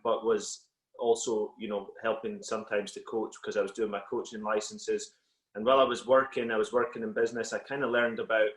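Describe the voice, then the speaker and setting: A Scottish man whose accent has softened a little, a football coach talking as a guest on a video call in a relaxed, reflective way with many fillers. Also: lowish voice, echoey room. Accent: Scottish, slightly Americanised. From Scotland. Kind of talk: casual interview on a call.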